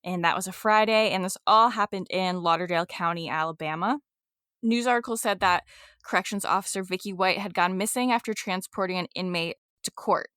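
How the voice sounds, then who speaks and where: A woman's voice: fairly low pitch, fairly quick, a bit bright, clear, resonant tone, no echo. Woman, podcast co-host, chatty and loose, slightly congested, dry close mic. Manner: chatty, laughing, filler-heavy. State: congested from allergies. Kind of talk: casual true crime podcast chat. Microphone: podcast microphone.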